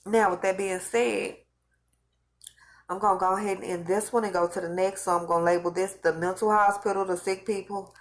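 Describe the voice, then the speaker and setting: A woman's voice: mid-range voice, fairly even intonation, fairly quick. A woman who is losing her voice, talking casually and rambling to her viewers, repeating and restarting phrases as she recounts visions. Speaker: vlogger recounting religious visions. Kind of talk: casual spoken monologue to viewers.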